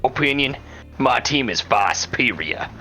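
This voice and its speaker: A man voicing a gruff, chain-smoking sports fan at a bar, curt and smug. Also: medium pitch, animated, a little slow, sharp tone, low-quality recording. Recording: light background music.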